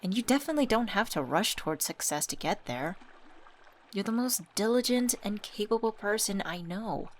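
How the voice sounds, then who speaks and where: A woman voice actor playing a supportive, gently teasing young best friend in a scripted ASMR roleplay, talking casually and intimately. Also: mid-range voice, animated, normal speed, close to an everyday voice. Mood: reassuring.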